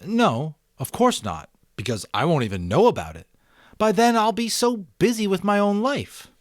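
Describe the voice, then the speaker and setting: A man's voice: sits high, very animated, moderate pace, no room sound, clean studio sound, pitched above the performer's own voice. A man voicing an earnest, curious young boy in an audiobook, talking eagerly and questioningly as he reasons aloud. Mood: earnest, reasoning. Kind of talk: audiobook character voice.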